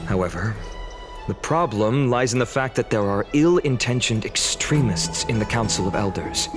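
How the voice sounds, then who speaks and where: A low male voice over prominent background music, an actor playing a courteous young scholar-warrior in a video game. He speaks formally and earnestly, setting things out in measured, careful phrases. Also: animated, lightly acted. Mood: calm, explanatory. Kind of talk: scripted video game dialogue.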